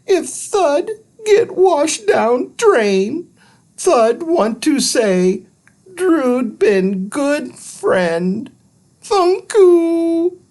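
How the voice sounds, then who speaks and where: High, chirpy little storybook robot. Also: male voice, big pitch swings, extremely slow, darkish tone, exaggerated voice. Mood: plaintive. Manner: broken telegraphic grammar, beeping interjections. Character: small earnest robot, simple broken speech, loyal. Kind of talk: children's storybook reading.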